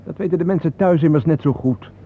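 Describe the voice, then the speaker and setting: An actor playing a sceptical, reluctant photographer in a radio play, with a colloquial, questioning manner. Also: male voice, a little high, fast talker, natural voice. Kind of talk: radio drama acting. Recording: noisy, thin phone-like old radio recording.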